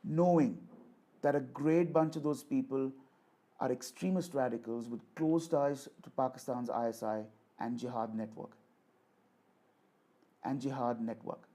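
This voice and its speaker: A man giving a rhetorical political speech in a declamatory, deliberate manner, repeating phrases for emphasis. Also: medium pitch, mellow. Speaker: orator reading a voice sample.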